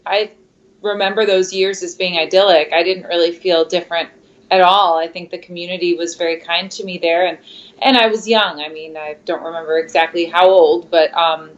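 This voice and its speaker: A woman who grew up speaking Spanish first, answering interview questions in a thoughtful, measured way with frequent fillers. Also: fairly low pitch, fairly even intonation. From Michigan, USA. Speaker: guest, opera singer. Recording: dull lo-fi sound.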